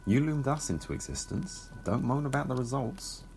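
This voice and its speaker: An actor playing a wry, world-weary ageing Time Lord tutor in an audio drama, dry and unflappable with a gravelly low voice. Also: male voice, lively intonation, moderate pace, darkish tone, soft background music, natural voice.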